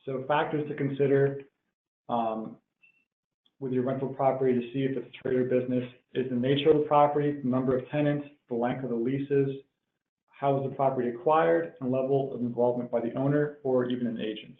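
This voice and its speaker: A man presenting a technical webinar in a measured, explanatory way, as if working through prepared points. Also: medium pitch, fairly even intonation. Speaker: webinar presenter, tax professional. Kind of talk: explanatory tax webinar lecture. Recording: tinny phone-like line, roomy echo.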